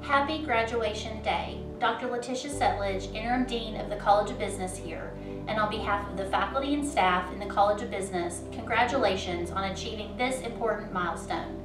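A woman's voice: medium pitch, brisk. Formal woman dean, echoey distant sound. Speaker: interim college dean. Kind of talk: formal prerecorded address. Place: indoors before a dark curtain. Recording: echoey, distant mic, music behind.

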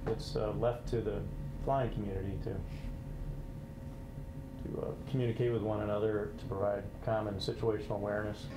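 A man in his forties with an American accent, giving halting, measured explanations in an interview. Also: on the low side, flat delivery, background noise. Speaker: company representative being interviewed. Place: small indoor conference room. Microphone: lapel.

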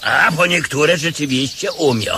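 An actor playing a wily, bossy blind beggar with a folksy, declamatory way of talking, in a radio comedy drama. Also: male voice, a little high, lively intonation, unhurried, background noise. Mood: sly, sceptical.

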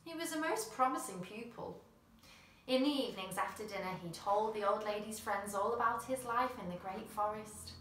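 A woman's voice: medium pitch, moderate pace, a bit bright, slight background noise. A woman, reading a children's picture book expressively in a small room. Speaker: storyteller. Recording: roomy, slightly distant mic.

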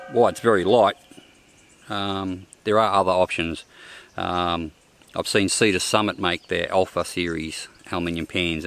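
A man's voice: sits low, a bit bright, a little hiss or hum. An older Australian man reviewing camping cookware outdoors in the bush, talking plainly with frequent ums and restarts. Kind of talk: casual gear review vlog. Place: outdoors in open bushland.

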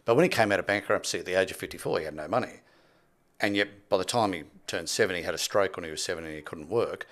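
A man's voice: deep voice, racing, a bit bright. A man in his sixties telling stories conversationally and emphatically as an interview guest, on a close studio microphone. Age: 60s. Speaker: podcast guest, property development expert. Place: small indoor podcast studio. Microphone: close boom-arm studio microphone with headphones.

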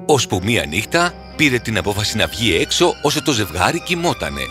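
A man narrating a scripted fairy tale in a dramatic, expressive manner. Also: lowish voice. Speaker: storyteller. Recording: music under the voice.

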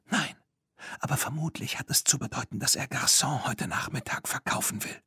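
A man voicing an adventurous, secretive young girl in a children's audiobook, in a hushed, breathy half-whisper. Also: medium pitch, normal speed, dry recording, clean studio sound, voice pushed up, full character voice.

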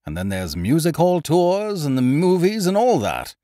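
A man voicing a loud, hearty, scheming young gentleman adventurer who blusters expansively. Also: a little high, huge pitch swings, moderate pace, dry recording, studio quality, pitched above the performer's own voice.

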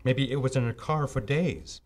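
A man's voice: mid-range voice, moderate pace, lightly acted. A middle-aged man playing a dismissive, overworked police detective in a 1940s-style radio drama, brusque and matter-of-fact. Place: live on a stage before a curtain. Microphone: stand microphone.

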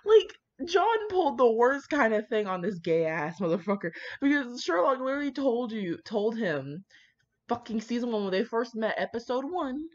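Woman, lowish lively voice, brash and sweary, casual rambling commentary, slangy, dull lo-fi mic. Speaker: fan fiction reader and commentator. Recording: dull lo-fi microphone.

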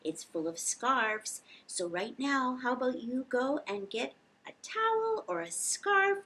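A middle-aged woman with a high voice hosts a children's storytime. She is animated and encouraging, moving between singsong verses and simple directions for little listeners. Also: a little slow, a little hiss or hum, poor audio. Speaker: children's library storytime host. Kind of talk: sing-along storytime for young children. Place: indoor lounge with couch and fireplace.

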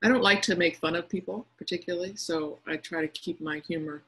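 A middle-aged woman, a cartoonist, talking casually and reflectively over a video call. She speaks in a quiet, soft tone and often pauses to find her words. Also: fairly low pitch, husky. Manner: quiet, soft-spoken tone with frequent hesitations. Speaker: cartoonist speaking to young listeners. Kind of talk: casual reflective talk answering questions. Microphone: video conference call.

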